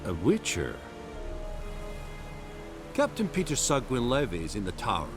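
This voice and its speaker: An actor playing a haughty, curt soldier on guard for an occupying army in a video game. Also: male voice, mid-range voice, huge pitch swings, unhurried, music in the background. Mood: brisk.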